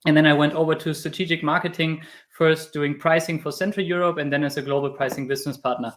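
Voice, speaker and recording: A man in his thirties with a German accent, talking casually as an interview guest and telling stories in an animated way. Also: a little high, fast talker. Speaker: podcast guest, crypto YouTuber.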